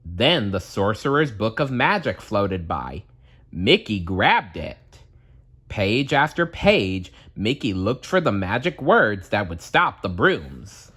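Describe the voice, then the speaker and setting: A man narrating a children's storybook aloud in a dramatic, deliberate storytelling manner. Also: lowish voice, sing-song, fairly bright tone, husky.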